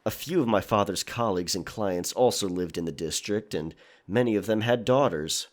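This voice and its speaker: Man acting a low-voiced, world-weary young pirate. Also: lightly acted. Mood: halting, rueful. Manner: halting, wry confession. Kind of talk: dramatic audio drama acting.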